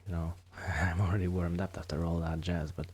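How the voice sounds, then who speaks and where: A man with a very low voice talks softly and intimately in non-native English, as if keeping a friend company in an ASMR role-play. He is hesitant and modest, often sinking toward a whisper. There is clear noise in the recording. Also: flat delivery, a little fast. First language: not English, a second-language speaker. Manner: soft-spoken, often dropping into whispers, hesitant. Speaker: ASMR performer playing a musician friend.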